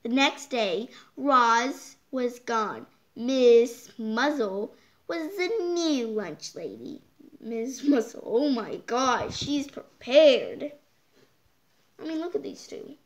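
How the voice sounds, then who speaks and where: A child reading a picture book aloud, halting and stumbling over words, with exclaimed side comments. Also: mid-range voice, sing-song. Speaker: storytime reader. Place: indoors, hands holding book before the camera.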